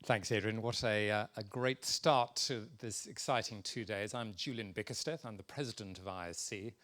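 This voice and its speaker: A man's voice: lowish voice, moderate pace, fairly bright tone, a little hiss or hum. A man in his sixties speaking as an organisation's president, giving an informal, somewhat hesitant welcome to a conference. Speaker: president of a conservation institute.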